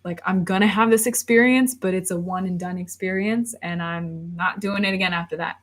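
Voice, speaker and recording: A woman's voice: fairly low pitch, steady tone, brisk. A woman in her thirties, rambling casually on a livestream and reading viewer comments aloud before answering them. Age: 30s. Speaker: livestream host.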